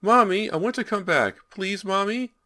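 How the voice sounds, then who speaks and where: A man voicing a frightened little boy trapped in a wall, with high, pleading cries. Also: moderate pace, pushed and bright.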